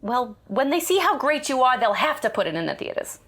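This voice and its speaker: A woman playing a pushy, doting, excitable mother in an audio drama, bossy and coaxing. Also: high-pitched, brisk, exaggerated voice. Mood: excited, encouraging. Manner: bossy and coaxing, with animated exclamations. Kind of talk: naturalistic audio drama acting.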